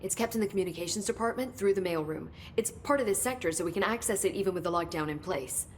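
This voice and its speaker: A woman's voice: fairly low pitch, quick pace, a little hiss or hum, lightly acted. A woman as a chatty, nerdy researcher. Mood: helpful. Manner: chatty, explanatory, nerdy enthusiasm. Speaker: research scientist guiding the hero.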